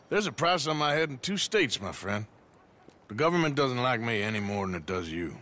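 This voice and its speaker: An actor playing a weary, gruff outlaw man in his thirties with a gravelly drawl. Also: medium pitch, very animated, normal speed, bright, forceful tone. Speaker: outlaw protagonist.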